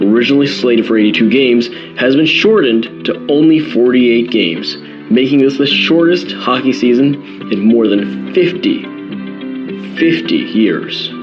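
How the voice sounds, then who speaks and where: A man's voice: mid-range voice, muffled recording, lightly acted. Man acting as genial morning-show host. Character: genial male American breakfast television host. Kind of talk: scripted 1990s morning TV presenting.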